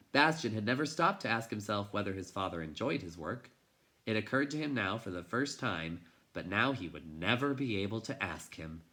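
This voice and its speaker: Bright-voiced man reading a storybook dramatically. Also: on the low side. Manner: dramatic, shifting from hushed to intense. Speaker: teacher reading a storybook aloud. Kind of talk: expressive children's book read-aloud.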